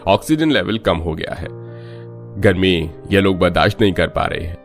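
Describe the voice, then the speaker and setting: Casting: male actor as grave cartoon doctor, low gravelly voice, measured delivery. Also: soft background music. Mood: serious. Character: serious adult male doctor.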